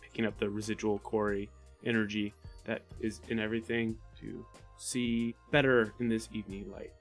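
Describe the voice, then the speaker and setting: A man's voice: on the low side, little pitch movement, normal speed, soft background music. A man chatting casually and matter-of-factly as a player in a tabletop game.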